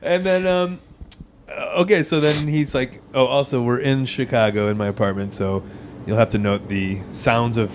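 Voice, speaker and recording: A man's voice: medium pitch, steady tone, moderate pace, a little hiss or hum. Male podcast host, rambling conversational interviewer, easy laugh, mid-range voice. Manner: chatty, rambling, laughs easily. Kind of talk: casual interview.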